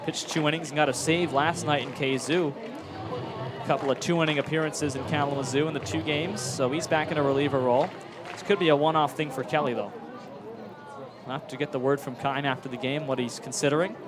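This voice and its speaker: A man's voice: on the high side, fairly even intonation, fairly quick, over music. A man giving analytical baseball commentary as a color commentator. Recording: clear stadium crowd noise behind. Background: ballpark crowd.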